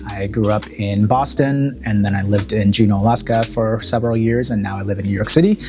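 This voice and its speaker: A man's voice: fairly low pitch, steady tone, brisk. A man who was born in Korea, a college program director, speaking earnestly and reflectively in an interview with many fillers. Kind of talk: interview talking about an event.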